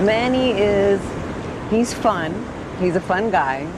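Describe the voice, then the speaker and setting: A woman's voice: medium pitch, expressive, slow. Woman, cruise guest, chatty, teasing, music behind. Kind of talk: casual interview.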